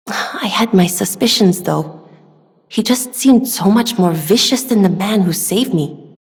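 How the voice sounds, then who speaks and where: A young woman voicing a gentle, earnest, polite weapons smith in a video game, soft-spoken and given to sighs. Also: mid-range voice, expressive, takes it slowly. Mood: sad, resigned. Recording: long hall reverb.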